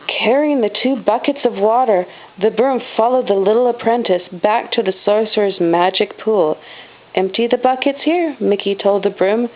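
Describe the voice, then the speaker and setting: A woman reading a children's storybook aloud as a mother does at home, with expressive stress on the dramatic words. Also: mid-range voice. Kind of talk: storybook read-aloud narration. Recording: dull, lo-fi home recording.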